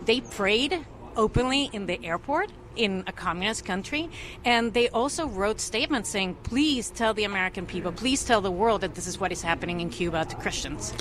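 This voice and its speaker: A woman speaks as an interview guest, earnest and fluent as she argues for religious freedom. Her voice sits at an ordinary pitch for a woman, and clear background noise runs under it. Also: expressive, normal speed, a bit bright.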